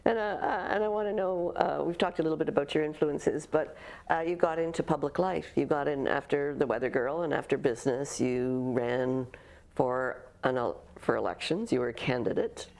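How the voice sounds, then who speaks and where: Woman host, low gravelly voice, encouraging interviewer. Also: normal speed, a little mellow. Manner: encouraging, brief affirmations.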